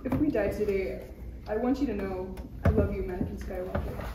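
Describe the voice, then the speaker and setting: A woman's voice: mid-range voice, fairly quick, close to an everyday voice. A woman playing a tender, anxious young senator in love in a parody table read. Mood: anxious affection. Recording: echoey room, distant mic, clear noise.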